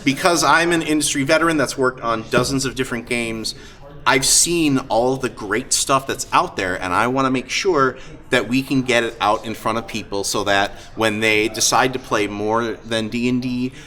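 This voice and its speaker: A man hosting a live show, talking casually and off the cuff, with enthusiasm, halting asides and frequent hesitations. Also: medium pitch, sharp tone. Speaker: host of a live-streamed tabletop roleplaying show. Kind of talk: casual off-the-cuff talk to an audience. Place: live event room at a gaming convention. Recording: live convention room with crowd noise.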